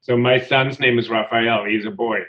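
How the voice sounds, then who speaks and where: A man's voice: mid-range voice, fairly even intonation, moderate pace, reverberant, lo-fi sound. A man interviewer who asks questions and adds enthusiastic asides. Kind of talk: conversational interview.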